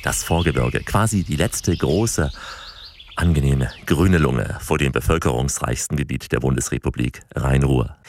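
A man's voice: a bass voice, gravelly. A man hosting a travel report, moving between narration and interview questions. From southern Germany or further south. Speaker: host and reporter.

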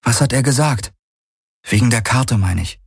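Casting: earnest, worried but resilient female space traveller for a sci-fi audiobook, played by a man, pitch raised well above his own, dry close sound. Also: normal speed, creaky, slightly rough, no room sound. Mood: anxious, questioning. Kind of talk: dramatised audiobook dialogue.

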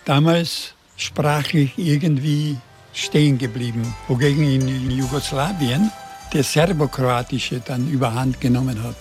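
A man in his eighties from Burgenland with a slight Burgenland Croatian accent, answering interview questions in a halting way, often repeating words. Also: mid-range voice, darkish tone, faint music behind. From Burgenland, Austria. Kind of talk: conversational interview.

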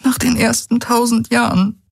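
A man voicing a tearful grieving woman, high and pleading. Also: level tone, moderate pace, creaky, no echo. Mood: sorrowful.

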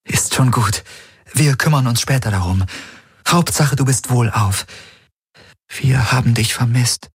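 Guy doing a caring young space crewwoman for an audiobook, gentle, slow, a bit breathy. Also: mid-range voice, no echo, clean studio sound, pitched above the performer's own voice. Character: young woman crew member, caring, steady. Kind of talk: audiobook character voice.

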